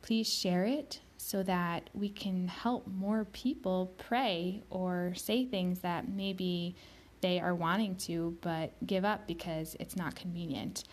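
A woman hosting her own podcast, speaking slowly and deliberately, pronouncing each word carefully, sometimes dropping to a soft whisper. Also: fairly low pitch, fairly even intonation. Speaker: podcast host. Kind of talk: slow, careful spoken podcast introduction.

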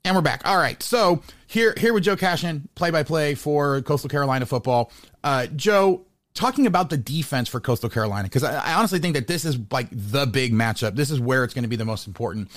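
A man's voice: medium pitch, expressive, a little fast, fairly bright tone. An American man hosting a casual sports interview, rambling and repeating words as he thinks aloud. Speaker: podcast host interviewing a sports guest.

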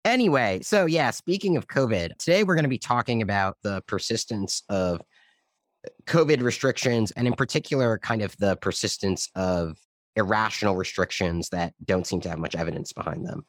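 A man's voice: low voice, no echo. A man co-hosting a talk podcast, speaking with a mildly scratchy throat in a sardonic, casual way. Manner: sardonic, repeats words when starting. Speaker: podcast co-host, reporter.

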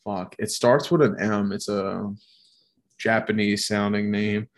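A man with a nasal voice co-hosts a podcast in a loose, rambling way, swearing and laughing as he goes. His voice sits slightly low in pitch. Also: level tone, measured pace. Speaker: podcast co-host. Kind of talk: casual podcast chat.

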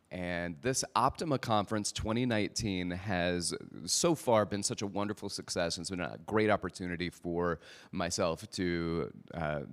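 A man's voice: deep voice, a bit bright. A man hosting a podcast live at a conference, speaking informally and jokingly to the people in front of him. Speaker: podcast host. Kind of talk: live presenting to an audience. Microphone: microphone.

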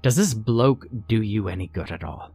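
A man's voice: fairly low pitch, expressive, normal speed, darkish tone. Horror-story villain, male narrator acting: gleeful shapeshifter, British accent, mocking and chatty, music underneath. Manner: taunting, theatrically chatty.

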